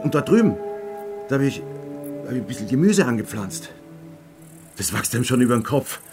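An earnest, awkward young man of the mountains in a radio play. He stammers and repeats his words shyly, in a medium-pitched voice. Faint music plays behind him. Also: big pitch swings, fairly quick. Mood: nervously eager. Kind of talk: radio drama acting.

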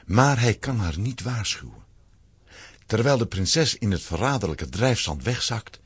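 A man giving a dramatic, expressive scripted narration for a children's audio drama. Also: low-pitched, husky, no echo.

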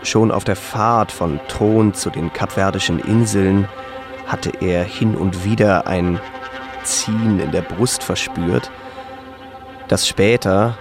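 Low-voiced man narrating over music. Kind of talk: scripted literary narration for a radio play.

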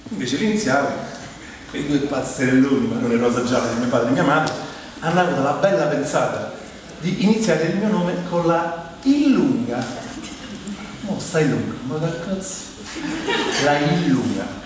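A man's voice: on the high side, expressive, normal speed, long pauses. A man from Rome with a Roman accent, delivering a comic autobiographical stage monologue in a conversational, exasperated manner. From Rome, Italy. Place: live stage performance in a theatre. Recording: echoey theatre hall, distant mic, noisy.

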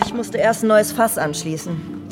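An actress playing a friendly, down-to-earth bar waitress in a radio drama, speaking naturally and casually. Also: female voice, mid-range voice, normal speed, over music, close to an everyday voice.

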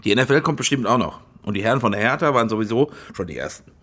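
An actor playing a harried, evasive stadium managing director, flustered and nervously jovial, in a radio crime drama. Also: male voice, medium pitch, animated, very fast, a bit bright. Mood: weary, aggrieved.

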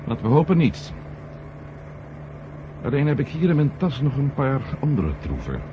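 A man's voice: medium pitch, huge pitch swings, moderate pace. A man acting a stubborn, suspicious journalist and former astronaut in a radio drama, insistent and wry. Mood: worried, earnest. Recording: muffled, noisy 1970 radio recording.

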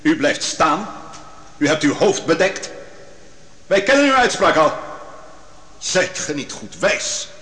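A man's voice: a little high, sing-song, slow, pauses often, bright, forceful tone, full character voice. An actor playing a bold, righteous man who cross-examines witnesses in a biblical radio drama, commanding and probing. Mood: indignant. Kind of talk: Dutch radio drama acting. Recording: long hall reverb.

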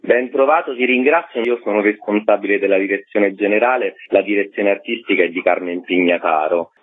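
A man's voice: lowish voice, brisk. A man speaking as a festival director in an informative radio interview. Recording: tinny narrow phone line on radio.

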